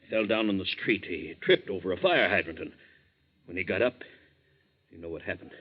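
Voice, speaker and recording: An actor playing an anxious, kindly captain in a 1940s radio drama, folksy and halting as he repeats his words. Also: deep voice, breathy edge. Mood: worried, hesitant. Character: anxious, kindly sea captain, protective of a young friend. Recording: muffled 1940s radio recording.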